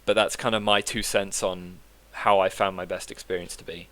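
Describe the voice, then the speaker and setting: A man co-hosting a casual podcast, giving his opinion in a relaxed, loose way with some swearing. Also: low voice, normal speed, no echo. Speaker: podcast co-host. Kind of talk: casual chat.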